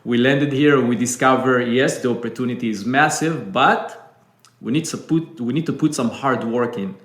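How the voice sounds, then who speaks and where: A man from Israel with an Israeli accent, a guest telling his story in a calm, reflective way. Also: mid-range voice, moderate pace. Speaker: business owner guest. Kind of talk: interview storytelling. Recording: echoey room.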